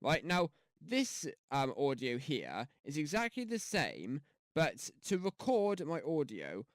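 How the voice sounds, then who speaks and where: A man's voice: fairly high pitch, wildly swooping pitch, slow pace, dry recording, studio quality. Chatty man on a headset mic. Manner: rambling, chatty, many fillers and restarts. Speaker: YouTube tutorial host. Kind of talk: casual screen-recorded tutorial. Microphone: gaming headset mic.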